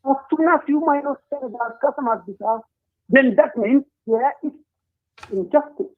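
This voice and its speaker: A man, speaking as a panel guest in a political discussion, earnest and deliberate with frequent hesitations. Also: very high voice. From Gambia. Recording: tinny phone line.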